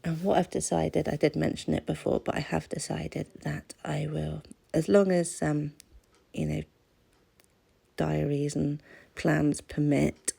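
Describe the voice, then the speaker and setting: A woman's voice: deep voice, vocal fry, mellow, slightly rough. A British woman with a stuffy-nosed voice from a cold, chatting casually and self-deprecatingly in a craft voice-over. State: has a cold. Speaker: craft channel host.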